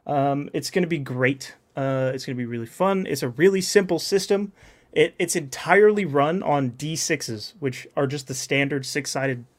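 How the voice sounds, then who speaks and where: A man's voice: fairly high pitch, moderate pace. A man chatting casually in an upbeat, enthusiastic way, like a host talking with friends. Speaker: podcast host and game master. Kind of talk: casual chat.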